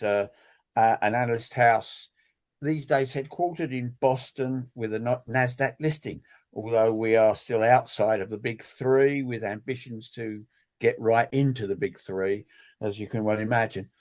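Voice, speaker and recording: A man speaking as an industry analyst on a webinar panel, rambling and halting with many fillers. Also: mid-range voice, a little mellow. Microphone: video call.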